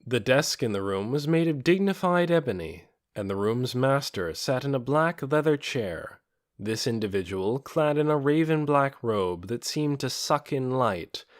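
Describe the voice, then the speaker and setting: A man narrates fantasy fiction as an audiobook reader. His medium-pitched voice moves up and down in a very animated way through the scripted prose. The recording is dry and free of room sound. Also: dry recording.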